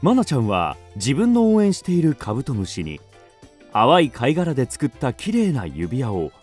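A man's voice: mid-range voice, huge pitch swings, moderate pace, darkish tone, faint music behind. A man narrating a children's radio drama as a scripted storyteller.

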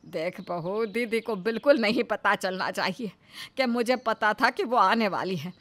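A woman's voice: mid-range voice, a little slow, fairly bright tone, close to an everyday voice. A woman voicing a fretful, nagging middle-aged mother-in-law in a cartoon family story, with theatrical delivery. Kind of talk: animated family story acting.

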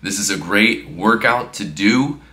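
A man's voice: on the low side, a little slow, sharp tone. A man in his thirties giving casual workout instruction in an upbeat coaching tone, from a living room and then a gym. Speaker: fitness vlogger.

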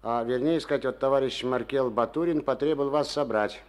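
A man's voice: mid-range voice, a little fast, close to an everyday voice. Guy acting stubborn middle-aged kolkhoz boss in an old soviet radio play, folksy and grumbly, kinda sly. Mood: formal announcing. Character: stubborn, aggrieved middle-aged male collective farm chairman.

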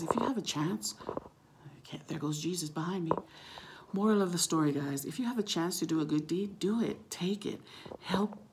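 A middle-aged woman with a husky, gravelly voice, chatting casually to camera and telling a story, acting out the dialogue as she goes. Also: fairly low pitch, noisy background. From Samoan, living in California. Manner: chatty, acts out quoted dialogue. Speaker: vlogger. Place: small room at home, indoors. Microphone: phone at arm's length.

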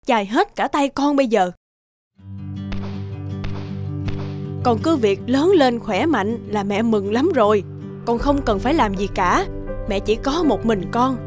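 A woman voicing a poor, weary, self-sacrificing village mother in a children's folk-tale cartoon, gentle and plaintive. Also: a little high, natural voice. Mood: tender, coddling. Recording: music behind the voice.

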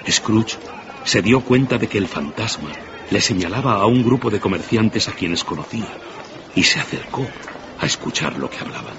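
A man narrating a radio drama as a grave storyteller, measured and dramatic. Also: on the low side, animated, gravelly, background noise. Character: grave storyteller of a ghost tale. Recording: dull radio recording, music behind.

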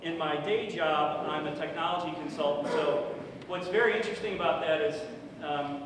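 A middle-aged man giving a casual conference talk, loud and chatty with many fillers. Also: on the high side, moderate pace. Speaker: conference speaker. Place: large conference room with projector. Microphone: podium microphone from far off. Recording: echoey room, distant mic, noisy.

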